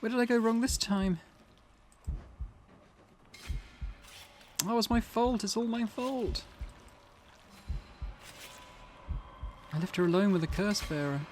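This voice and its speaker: A man voicing an anxious, earnest teenage schoolgirl with a hesitant, halting way of talking, for a visual novel game. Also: high-pitched, a little hiss or hum, voice pushed up, lightly acted. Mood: distressed.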